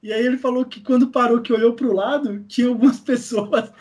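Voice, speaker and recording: A man's voice: very high voice, steady tone, brisk, darkish tone. A Brazilian man chatting casually as a guest in a podcast interview. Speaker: podcast guest, audio drama maker.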